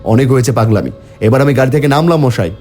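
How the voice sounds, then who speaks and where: A man's voice: medium pitch, fast talker, a little mellow, faint music behind. A man narrating a cartoon horror story in the first person, theatrical and exclamatory when voicing the dialogue.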